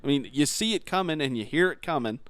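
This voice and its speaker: A man with a smooth, clear voice co-hosts a gaming podcast in a very animated way, his pitch moving freely. He is informal and opinionated, complaining casually, and the recording is dry with no room sound. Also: fast talker, no echo. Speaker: podcast co-host.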